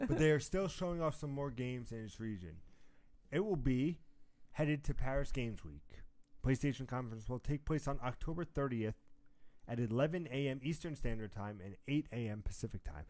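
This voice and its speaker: A man's voice: mid-range voice, moderate pace, a little mellow. A man hosting a gaming news show, mixing news reading with casual, teasing banter and the odd swear word.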